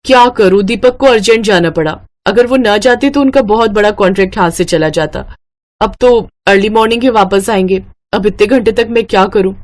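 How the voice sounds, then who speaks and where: A woman's voice: medium pitch, a little fast, lightly acted. Woman voicing a coy, scheming young bride. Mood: casual. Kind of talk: Hindi moral-story cartoon acting.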